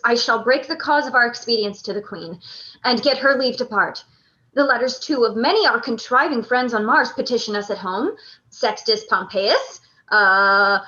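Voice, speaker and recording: A woman playing a torn male commander, declaiming gravely in a Shakespearean radio play. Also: fairly high pitch, sharp tone, lo-fi sound. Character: Antony, grown male commander torn between love and duty.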